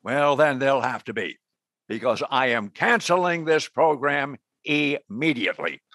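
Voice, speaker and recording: Bright, forceful male agency director. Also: mid-range voice, expressive, a little slow, dry recording, studio quality. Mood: stern. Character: brusque, officious male intelligence agency director. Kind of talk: radio drama acting.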